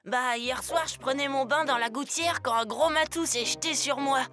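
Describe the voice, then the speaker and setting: A woman's voice: high voice, normal speed, pushed and bright, soft background music. A woman voicing a chatty, friendly little sparrow in a French children's cartoon, talking in a colloquial way. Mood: chatty, grateful.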